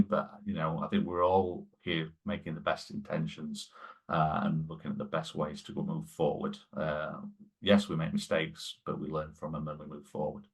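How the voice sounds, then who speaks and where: Podcast guest, man, husky and flat-toned, rambling with fillers and restarts. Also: low-pitched. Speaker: guest, sustainability lead. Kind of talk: conversational podcast interview.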